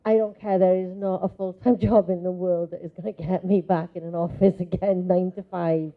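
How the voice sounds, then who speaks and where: Audience member, female, lowish voice, unscripted and candid, dull narrow-band hall audio. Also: steady tone, normal speed. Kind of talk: spontaneous personal testimony. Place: conference hall. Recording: muffled lo-fi live hall recording.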